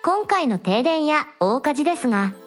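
A woman's voice: high-pitched, sing-song, moderate pace, faint music behind. A woman voicing a polite, supportive young princess hosting a livestream in a radio drama, speaking in formal honorifics. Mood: curious.

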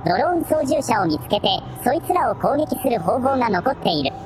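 A synthetic female voice playing a calm, matter-of-fact AI assistant in a radio drama, reporting in clipped phrases. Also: on the high side, a little fast, a little hiss or hum.

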